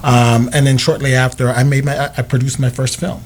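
A man's voice: medium pitch, level tone, a little fast. A man from Chicago, a film director guest on a radio show, talking earnestly and encouragingly. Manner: earnest, encouraging, repeats for emphasis. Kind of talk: interview answers.

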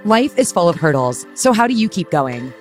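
Encouraging woman with animated voice. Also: lowish voice, moderate pace, faint music behind. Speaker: podcast promo announcer. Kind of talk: promo read.

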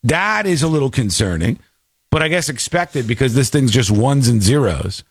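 A man's voice: medium pitch, sing-song, normal speed, husky, dry recording. A man hosting a live stream, making sarcastic, mocking commentary on video clips. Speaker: streamer host reacting to clips.

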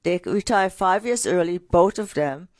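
A woman co-hosting a financial radio show, telling client stories in an energetic, talkative way. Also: fairly low pitch, measured pace, dry recording. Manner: energetic, storytelling with client anecdotes. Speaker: radio co-host, financial advisor. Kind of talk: casual radio finance talk.

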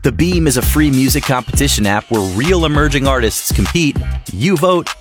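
A man gives a hyped sales pitch for an app. His voice sits in the middle range, and music plays clearly under him. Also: expressive, moderate pace. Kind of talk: scripted ad read.

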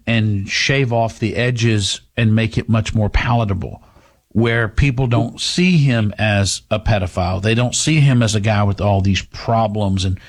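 A man in his fifties runs a call-in radio show. He muses over ideas and shares his opinions freely. With callers he stays easygoing and reassuring. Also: medium pitch. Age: 50s. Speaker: radio talk show host.

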